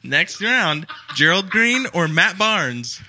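A man quizzing his cohosts in relaxed podcast banter, with a very bright voice and a lively, bouncing pitch. He laughs easily as he reads out answers. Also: slow, slight background noise, poor audio. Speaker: podcast cohost running a quiz.

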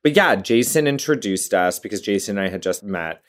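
A woman's voice: a bass voice, lively intonation, fairly quick. A woman in her late twenties, a guest in a casual interview, talking playfully and laughing often. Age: 20s.

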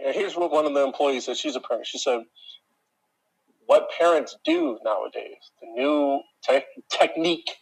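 A deep-voiced man telling a work story in an exasperated, ranting way, speaking directly to his listeners. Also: vocal fry, breathy edge, low-quality recording. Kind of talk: casual chat.

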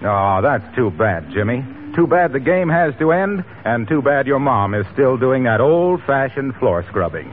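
A man's voice: mid-range voice, very animated, normal speed, few pauses. A man with an American accent, a polished, salesmanlike announcer reading scripted copy in 1940s radio style. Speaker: radio announcer and sponsor pitchman. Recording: tinny, hissy old radio recording.

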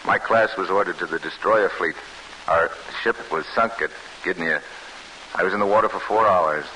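An actor playing a grave, guarded Polish soldier telling of his wartime escape, earnest and deliberate, in an old-time radio drama. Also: low-pitched, pushed and bright, poor audio. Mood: somber. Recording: hissy vintage radio broadcast.

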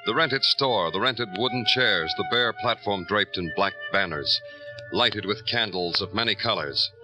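Man acting a brooding, low-voiced noir detective narrator. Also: close to an everyday voice, low-quality recording. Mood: somber, poetic. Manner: dramatic, deliberate, florid narration. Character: world-weary, brooding male New York homicide detective narrator. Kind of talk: 1950s radio noir acting, poetic narration. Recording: old radio drama with loud music.

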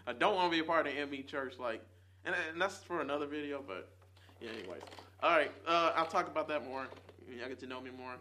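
A man in his twenties talking casually and earnestly to his viewers, rambling and swinging between loud bursts and quiet trailing asides. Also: a little high. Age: 20s. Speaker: vlogger. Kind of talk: casual chat to camera.

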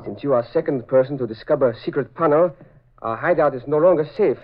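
Sinister Japanese spy male actor, formal and clipped, higher register, noisy 1940s radio sound. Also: fast talker, lightly acted. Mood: cold menace. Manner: clipped broken English, formal, menacing. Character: cold, sinister Japanese spy villain with stiff broken English. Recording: muffled, noisy old radio recording.

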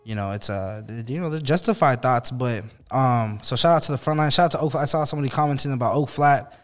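A man talking as a podcast guest, relaxed and rambling, with lots of fillers and restarts. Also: mid-range voice, fast, faint music behind. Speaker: podcast guest, musician and activist. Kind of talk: casual podcast chat. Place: podcast studio in Los Angeles.

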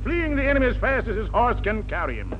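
High-voiced animated man, old radio. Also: quick pace. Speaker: comedy show host and announcer. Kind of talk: 1940s radio comedy hosting. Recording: dull, noisy vintage radio recording.

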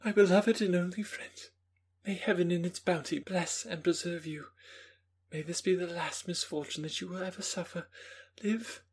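A man voicing a gentle, condemned young woman who speaks softly and mournfully, resigned to her fate. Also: high voice, fairly even intonation, moderate pace, husky, voice pushed up. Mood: tearful farewell. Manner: soft, mournful, tender pleading. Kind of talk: audiobook character reading.

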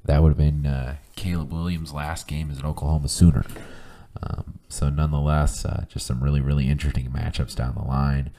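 Male podcast host with a hoarse flu voice and a dark, mellow tone that barely rises or falls; hesitant, filler-heavy, runs through stat lists. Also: very deep voice, level tone, slight background noise. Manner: halting, many ums and uhs, reads off lists of stats. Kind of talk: casual solo podcast talk.

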